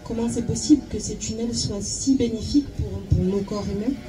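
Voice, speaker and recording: A woman's voice: fairly high pitch, brisk, music in the background. A woman interpreting informally phrase by phrase, hesitant, searching for words and repeating herself. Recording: clear background noise with chirping insects.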